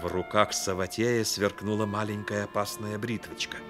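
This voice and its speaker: A man narrating a radio play as a dramatic storyteller, measured and expressive. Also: fairly low pitch, loud music behind the voice.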